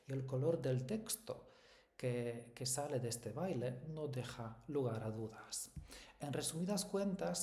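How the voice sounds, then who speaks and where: A man from Poland, a native Polish speaker, giving a formal, measured academic book presentation as a researcher. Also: mid-range voice, dark tone. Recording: echoey room sound.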